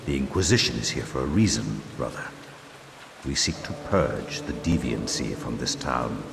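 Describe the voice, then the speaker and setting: A man's voice: extremely low pitch, expressive, moderate pace, creaky, exaggerated voice. A man playing a stern, pious medieval inquisitor with pompous, menacing delivery in a dramatic video game cutscene. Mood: coolly menacing. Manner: pompous, declamatory. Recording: cavernous hall reverb with storm noise.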